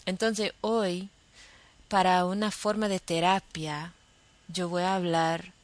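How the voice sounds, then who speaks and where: A young adult woman hosting her own podcast, talking about her life in a halting, unscripted monologue full of fillers and restarts, in a with as her first. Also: lowish voice, level tone, no room sound. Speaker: podcast host. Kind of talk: casual personal monologue.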